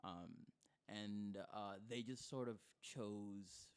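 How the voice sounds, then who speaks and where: A man's voice: on the low side, very slow, smooth. A cheerful man born in Kenya and a native Kizigua speaker, chatting casually and hesitantly as a podcast guest. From born in Kenya to Somali parents, lives in Idaho. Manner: cheerful, giggly, hesitant phrasing. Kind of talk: casual chat.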